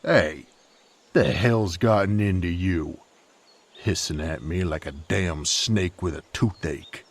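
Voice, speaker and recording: Gruff, hot-tempered rustic knight, played by a man, audio drama; unhurried drawl, gravelly, sardonic. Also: low voice, full character voice. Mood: indignant. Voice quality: gravelly, husky. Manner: folksy drawl with rustic slang. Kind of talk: character audio roleplay acting.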